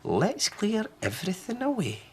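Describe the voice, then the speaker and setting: A man's voice: sits high, huge pitch swings, moderate pace, darkish tone, a little hiss or hum, voice pushed up. A man voicing a kindly, cheerful old Scottish granny for a storybook, with an affectionate sing-song manner.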